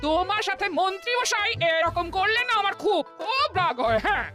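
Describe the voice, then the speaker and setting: A woman's voice: very high-pitched, sing-song, fairly quick, music in the background. A woman voicing a devoted, indignant wife in a cartoon, chatty and emotive. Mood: affectionate. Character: doting, indignant wife of a court jester.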